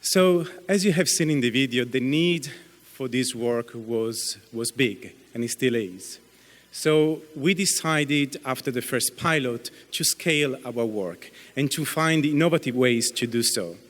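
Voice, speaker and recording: A man giving a conference talk, speaking deliberately with slow phrasing and occasional fillers. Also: medium pitch, expressive, a bit bright. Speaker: conference presenter. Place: conference hall. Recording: reverberant hall.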